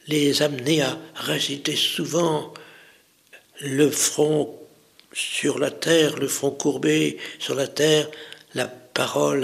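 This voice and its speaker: Male storyteller, religious narration, medium pitch, deliberate delivery, short phrases, frequent pauses. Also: vocal fry, fairly bright tone.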